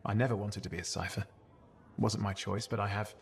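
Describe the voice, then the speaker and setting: A man's voice: fairly low pitch, fairly even intonation, a little fast, creaky. An actor playing a cheeky, playful young man in a video game, with a teasing, flippant manner that covers old hurt. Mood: subdued, wistful. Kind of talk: video game cutscene acting.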